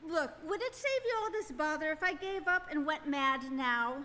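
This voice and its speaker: A woman's voice: high-pitched, lively intonation, moderate pace, sharp tone, a little hiss or hum. A woman playing a bewildered, exasperated ordinary human in a radio play performed live, incredulous and flustered. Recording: long hall reverb.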